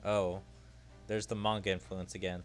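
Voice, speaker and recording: A man hosting a gaming video, giving casual, low-key gameplay commentary with rambling asides. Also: level tone, normal speed. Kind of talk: casual gameplay commentary, reading game dialogue aloud. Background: game music.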